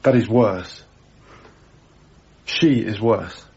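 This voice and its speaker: An actor playing a gentle, heartbroken young British lodger in a radio drama, speaking in a measured, reflective way. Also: male voice, on the low side, gravelly, breathy edge, heavily acted. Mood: thoughtful, wounded. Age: young adult. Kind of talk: BBC radio drama acting, intimate first-person narration. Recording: dull radio broadcast recording.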